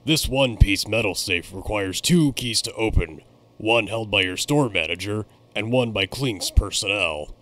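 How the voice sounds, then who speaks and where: A man's voice: on the low side, lively intonation, moderate pace, a bit bright, a little hiss or hum. A man reading a polished, salesy old-time radio commercial as announcer. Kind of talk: vintage radio ad read.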